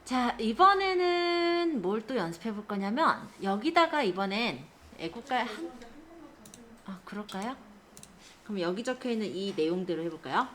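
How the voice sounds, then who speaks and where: Animated woman teaching software, some background noise. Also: medium pitch, moderate pace. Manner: explanatory, checks in with short tag questions. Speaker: computer skills instructor. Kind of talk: step-by-step spreadsheet software lesson.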